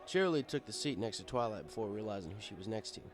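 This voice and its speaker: A man's voice: on the low side, animated, quick pace, music in the background. A man reading a first-person story as scripted narration, in a wry, conversational manner. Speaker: story reader.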